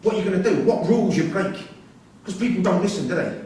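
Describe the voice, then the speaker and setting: A man's voice: sits high, fast talker, dark tone, close to an everyday voice. A man playing a defensive, earnest prisoner with colloquial, insistent speech in a staged play reading. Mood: pleading frustration. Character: male prisoner, defensive, earnest, frustrated, rough-spoken. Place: theatre room at a staged reading. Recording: echoey hall, distant mic, hissy ambience.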